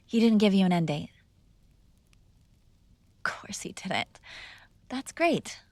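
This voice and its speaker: A woman's voice: medium pitch, lively intonation, takes it slowly, a little mellow, dry recording. A woman playing a mob boss's spoiled, defiant daughter in an intimate ASMR roleplay, teasing and sarcastic in a conversational way, close to the microphone. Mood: wry, teasing. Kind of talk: ASMR girlfriend roleplay, intimate one-sided dialogue.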